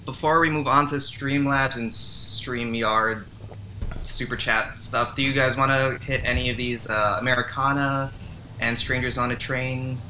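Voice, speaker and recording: Bright-voiced male co-host. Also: medium pitch, steady tone, unhurried, slight background noise. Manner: runs the rundown and plugs. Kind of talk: casual panel chat.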